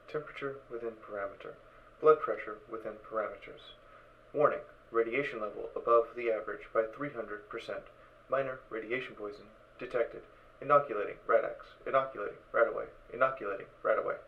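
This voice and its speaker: A man's voice: fairly low pitch, fairly even intonation, brisk, close to an everyday voice. A man voicing an impassive spacesuit computer with a metallic voice and clipped, procedural announcements. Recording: dull recording with faint noise.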